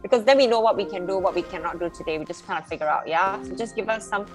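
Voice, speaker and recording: A woman's voice: mid-range voice, fast, over music. A woman facilitator speaking patiently and reassuringly to a workshop group.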